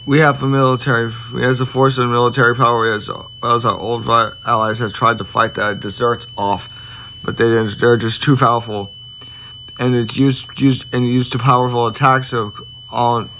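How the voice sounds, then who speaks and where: Male amateur as solemn government official announcing the apocalypse, halting and repetitive delivery, mid-pitched, slightly noisy recording. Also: flat delivery, natural voice. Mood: grave, urgent, then halting, regretful. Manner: halting, stumbling, repeats himself. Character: grave government spokesman announcing the end of the world. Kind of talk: solemn emergency broadcast address.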